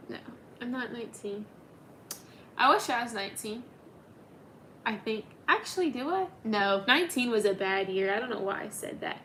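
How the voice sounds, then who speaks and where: A woman's voice: a little high, pushed and bright, slight background noise. Chatty young woman on a phone livestream. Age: 20s. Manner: chatty, answers comments as they come, many ums. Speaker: livestream host. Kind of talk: casual livestream chat reading viewer comments.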